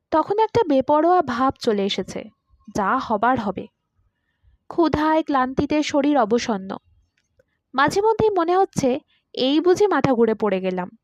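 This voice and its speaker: A high female voice narrates a literary short story with dramatic expression. The sound is dry and close, with a slightly dull, lo-fi finish. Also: lively intonation, measured pace, long pauses, darkish tone, no echo. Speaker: story reader.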